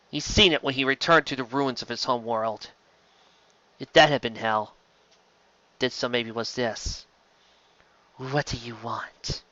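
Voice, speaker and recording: A man reading a dramatic story aloud in an expressive amateur manner, sometimes stumbling over words. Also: medium pitch, no room sound, highs cut off. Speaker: amateur audiobook reader. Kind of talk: dramatic reading of fan fiction narration with reactions.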